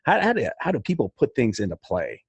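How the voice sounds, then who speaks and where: A man's voice: medium pitch, wildly swooping pitch, a little fast, vocal fry, breathy edge, no room sound. A man hosting a podcast in a casual, chatty, rambling conversational style. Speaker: podcast host.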